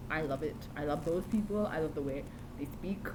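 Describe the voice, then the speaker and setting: A woman's voice: deep voice, brisk, background noise. A woman rambling through a diary-style vlog, meandering and often restarting or correcting herself. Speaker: vlogger.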